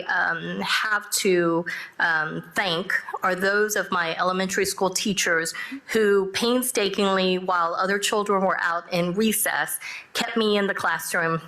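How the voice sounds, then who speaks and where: Bright-voiced middle-aged woman, native Korean speaker. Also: mid-range voice. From born in South Korea, raised in the US. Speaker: judge, panelist. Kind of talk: personal introduction on a panel. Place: panel event room with audible echo. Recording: roomy live event sound.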